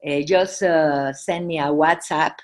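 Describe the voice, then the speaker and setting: Slow, low-voiced woman with Mexican accent. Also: fairly even intonation. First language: Spanish. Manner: halting, searching for English words. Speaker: Red Cross presenter. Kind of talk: informal presentation.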